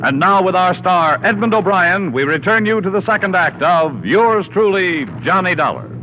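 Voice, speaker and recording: A man announcing a commercial in a 1950s radio broadcast, smooth and upbeat. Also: sits high, expressive, normal speed, over loud music. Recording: tinny old radio broadcast.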